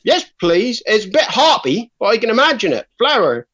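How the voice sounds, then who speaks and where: A man's voice: high voice, sing-song, normal speed, a bit bright, heavily acted. A man playing a joking, rambling pub boozer in a radio comedy, on dull lo-fi audio. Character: jokey male pub boozer fond of wordplay. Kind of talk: radio comedy play reading.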